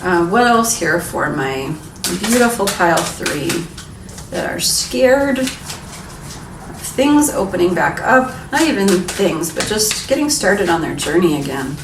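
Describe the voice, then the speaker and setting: A woman's voice: fairly low pitch, big pitch swings, slow pace. A young adult woman giving a casual pick-a-card tarot reading in a frank, encouraging, chatty way. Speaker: tarot reader. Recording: echoey room, mic some distance away, background noise.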